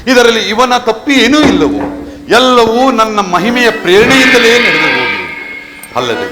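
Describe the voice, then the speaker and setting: An actor playing a lofty divine being, proclaiming with authority in a mythological stage drama. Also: male voice, very high-pitched, big pitch swings, normal speed. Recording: reverberant hall, faint music behind.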